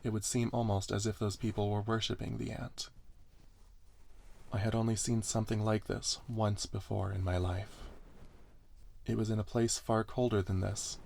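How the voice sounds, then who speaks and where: A man's voice: fairly low pitch, flat delivery, normal speed, gravelly, darkish tone. A man reading scripted first-person story narration in an ASMR style.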